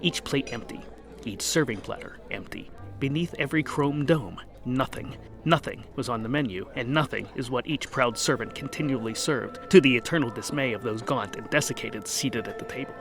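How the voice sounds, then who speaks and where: A man reads a scripted comic fantasy tale as its narrator. His delivery is wry and dramatic at a medium pitch, with clear music running underneath. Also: moderate pace.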